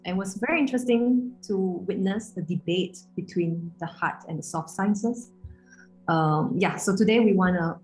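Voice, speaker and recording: A woman's voice: medium pitch, normal speed, faint music behind, poor audio. A woman from Singapore introducing a student project, friendly and hesitant with many fillers. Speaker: student interviewer. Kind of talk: casual interview introduction.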